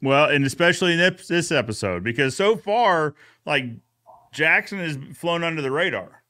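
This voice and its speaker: A man's voice: on the high side, expressive, normal speed, bright, forceful tone. A man hosting a casual conversation in an agreeable, jokey way. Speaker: podcast host. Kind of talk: casual chat.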